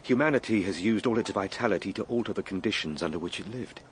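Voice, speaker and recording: An actor playing an earnest, excitable Victorian time traveller in a radio play, speaking in a formal, declamatory way. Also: male voice, on the low side, fast talker, a little hiss or hum, close to an everyday voice. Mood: grave musing. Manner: declamatory, formal, spoken as a live log. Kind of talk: dramatised radio play monologue.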